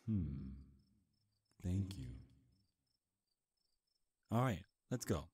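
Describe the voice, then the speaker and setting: A man playing a charming, sly male vampire in an intimate ASMR roleplay, soft-spoken, flirtatious and hesitant, with deliberate delivery. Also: low-pitched, big pitch swings, breathy edge, no echo, heavily acted. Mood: coaxing. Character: charming, sly male vampire, centuries old, courting prey. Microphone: close, dry microphone.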